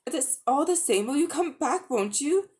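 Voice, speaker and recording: A woman's voice: high voice, expressive, normal speed, a little mellow, voice pushed up. A woman voicing an earnest, exasperated young schoolboy in a dramatic storybook reading. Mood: urgent, pleading.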